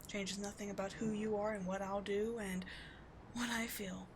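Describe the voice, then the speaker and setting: A woman playing an obsessive, devoted villain in a dramatic ASMR roleplay, speaking with theatrical intensity that moves between forceful and hushed. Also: medium pitch, steady tone, normal speed, noisy background, close to an everyday voice. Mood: tender, resigned. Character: obsessive, devoted female villain wooing a wounded sidekick.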